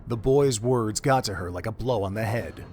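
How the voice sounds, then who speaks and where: A man narrating a fan audio drama in a steady, measured storytelling manner. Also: on the low side.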